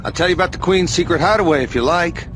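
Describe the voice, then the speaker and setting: A man's voice: a little high, quick pace, natural voice. An actor playing a cocky, swaggering male space racer who taunts with a showy manner, in a game cutscene. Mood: taunting. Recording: game cutscene with loud music.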